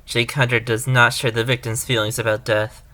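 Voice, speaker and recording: A man's voice: mid-range voice, little pitch movement, normal speed, bright, forceful tone, natural voice. A man playing an earnest, determined defense attorney in a courtroom audio drama, arguing emphatically.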